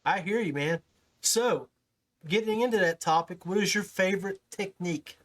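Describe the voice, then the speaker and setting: A man's voice: sits high, moderate pace, fairly bright tone. A man hosting a fishing podcast, interviewing a guest in an informal, buddy-to-buddy way. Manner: informal, addresses listeners and guest as guys, buddy, brother. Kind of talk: casual talk show hosting.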